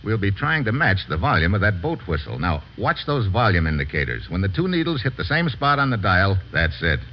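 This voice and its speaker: Casting: commanding American investigator, clipped and resourceful, vintage radio thriller, muffled noisy recording. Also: male voice, fairly low pitch, sing-song, fairly quick. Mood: focused, businesslike. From United States. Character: brisk, resourceful American male investigator giving orders. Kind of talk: old-time radio drama acting.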